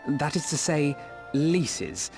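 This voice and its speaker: A man playing an earnest, eloquent young diplomat in a fantasy video game, speaking formally and with measured delivery. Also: a little high, big pitch swings, creaky, a little mellow, soft background music, full character voice. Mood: composed, earnest. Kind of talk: scripted fantasy game dialogue.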